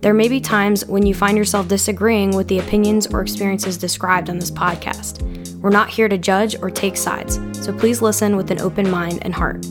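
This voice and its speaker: A woman hosting a podcast, reading a calm, scripted introduction. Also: medium pitch, normal speed, music in the background. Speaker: podcast host.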